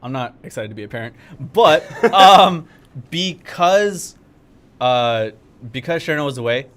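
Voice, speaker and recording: A young man co-hosting a live game event stream, upbeat, talkative and easily excited. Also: a little high, measured pace. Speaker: game community manager. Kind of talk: casual livestream co-hosting. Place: studio in Korea.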